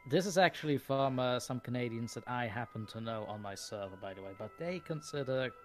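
A man's voice: medium pitch, expressive, a little fast, soft background music. A native Dutch-speaking man with a Flemish accent, chatting casually and wryly on a livestream. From Flanders, Belgium.

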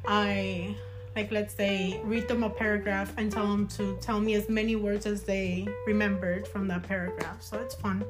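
A young woman from Cuba, a guest on a casual podcast, relaxed and giggly, with frequent hesitations. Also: mid-range voice, moderate pace. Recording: guitar music in background.

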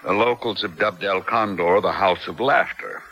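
An actor playing a blunt, principled newspaper reporter in a 1970s radio drama, matter-of-fact in delivery. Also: male voice, low-pitched, normal speed, sharp tone, dull audio. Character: hard-nosed, principled American newspaper reporter.

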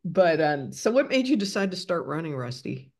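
A woman's voice: deep voice, very animated, normal speed, a little mellow. A woman of sixty-three, hosting a casual interview in a rambling, easygoing way. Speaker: podcast host, interviewer.

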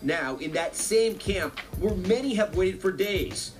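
A man narrating a scripted news report as a correspondent, in dramatic, measured phrases. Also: sits high, lively intonation, over music.

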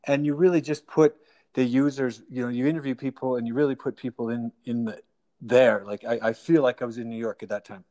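A man's voice: mid-range voice, fairly even intonation, brisk, mellow. A man hosting a podcast interview, rambling with lots of restarts and laughing at his own jokes. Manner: rambling, self-deprecating, laughs often. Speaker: podcast host. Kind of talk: casual remote interview.

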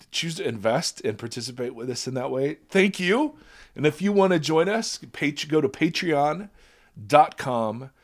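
A man hosting a podcast in casual chat, his voice raspy from a virus, rambling and self-deprecating, often laughing. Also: medium pitch. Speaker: podcast host.

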